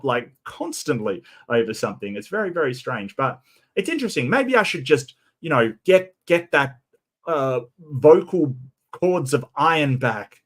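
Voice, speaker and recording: A man hosting a solo stream, talking in a casual way. His voice is croaky and tired, and he often stammers and repeats his first words. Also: medium pitch. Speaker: streamer host.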